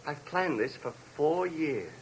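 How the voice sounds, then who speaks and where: A high-voiced, politely menacing madman. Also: expressive, slow pace. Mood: smug, threatening. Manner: polite, composed, coldly precise. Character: deranged, calmly menacing escaped male convict with a bomb. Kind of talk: 1950s radio drama acting. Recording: noisy vintage radio recording.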